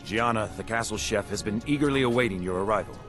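An actor playing a formal, dry castle officer in a fantasy video game. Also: male voice, on the low side, quick pace, lightly acted. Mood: polite. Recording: loud game music behind voice.

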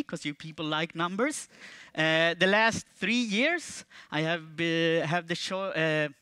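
A man's voice: clean tone, no echo. Playful Swedish-accented man on stage. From Sweden. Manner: playful, jokey, with animated jumps in pitch and volume. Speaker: conference speaker. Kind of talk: stage presentation. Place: large dark auditorium stage with audience.

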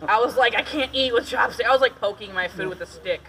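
A woman's voice: on the high side, fast talker, sharp tone. A talkative woman from Detroit who later moved to Tennessee, guiding a tour and chatting casually with jokes and stories. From Detroit, Michigan; raised partly in Tennessee. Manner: joking, giggly, talkative. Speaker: cave boat tour guide. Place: boat on an underground lake in a cave. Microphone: passenger's camera at some distance. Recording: distant mic, people chattering around.

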